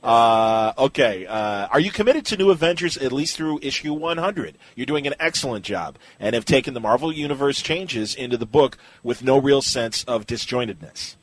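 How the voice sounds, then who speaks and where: A middle-aged man with a mid-pitched voice hosts a relaxed, conversational interview. He is chatty and agreeable, slipping in short affirmations between his questions. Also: moderate pace, few pauses, poor audio. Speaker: podcast host, interviewer.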